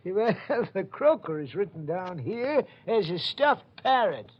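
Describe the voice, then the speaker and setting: An actor in a 1940s radio drama playing a chatty, folksy old record keeper in a village, on a dull old recording. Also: male voice, sits high, sing-song, slow pace, breathy edge, full character voice. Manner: folksy rustic speech.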